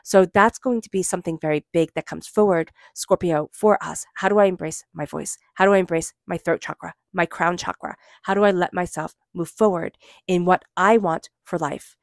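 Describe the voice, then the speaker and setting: A woman giving a gentle, reflective tarot reading, talking casually with many fillers. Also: on the low side, steady tone, moderate pace, vocal fry, no room sound, studio quality. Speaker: tarot reader.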